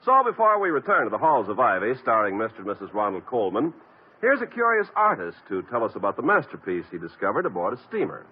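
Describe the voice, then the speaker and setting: A man's voice: medium pitch, huge pitch swings, quick pace, a little hiss or hum. A man announcing on a 1950s radio show in a polished, emphatic way. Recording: dull old radio broadcast with faint music behind.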